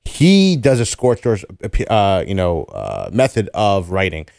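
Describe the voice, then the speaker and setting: A man's voice: deep voice, wildly swooping pitch, a little slow, creaky, darkish tone, no room sound, studio quality. A man co-hosting a podcast, talking comics in an enthusiastic, rambling, slangy way. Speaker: podcast co-host. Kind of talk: casual comics chat.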